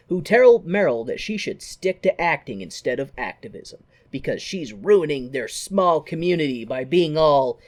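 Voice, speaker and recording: An irreverent podcast co-host with a mid-pitched voice recaps a movie in a casual, comic way. The delivery is conversational and sweary, with jokey asides dropped into the retelling. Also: darkish tone.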